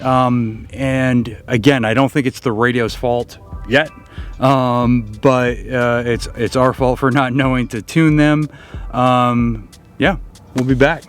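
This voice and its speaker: A man's voice: medium pitch, fairly even intonation, takes it slowly, soft background music. A man hosting a casual, unscripted how-to vlog, explaining somewhat hesitantly as he thinks aloud. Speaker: vlog host.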